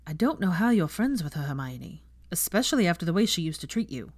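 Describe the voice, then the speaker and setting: Lady doing a blunt casual young dad in a story, animated pitch. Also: fast talker. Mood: sceptical. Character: blunt, gruff young father, protective friend. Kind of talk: fan fiction audiobook character voice.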